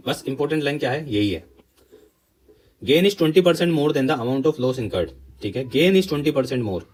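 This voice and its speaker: Casting: Indian man, mid-pitched voice, exam tutor who explains step by step and checks with rhetorical questions. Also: a little mellow. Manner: instructional, asks questions and answers them himself; mixes Hindi explanation with English terms. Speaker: maths teacher for exam preparation.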